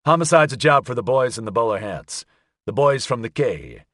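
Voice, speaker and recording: A man voicing a practical, smooth-talking male private detective, brisk and wry, in an audiobook. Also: medium pitch, sing-song, fairly bright tone, no room sound, clean studio sound. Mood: matter-of-fact. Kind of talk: audiobook character acting.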